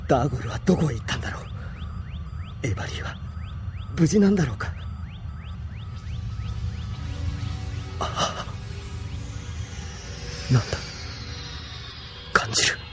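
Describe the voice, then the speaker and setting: Young male ace pilot, man acting: intense anime war drama, swings from hushed to explosive, music behind. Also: mid-range voice, very animated, moderate pace, pauses often, natural voice. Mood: troubled.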